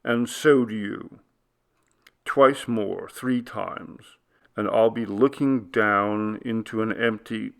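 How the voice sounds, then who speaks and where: Male narrator as anguished man, slow halting delivery, gravelly creak, haunted ghost story character. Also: a bit bright, exaggerated voice. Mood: resigned calm. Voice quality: breaking, low, trembling voice. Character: anguished, frightened man confessing a dreadful secret.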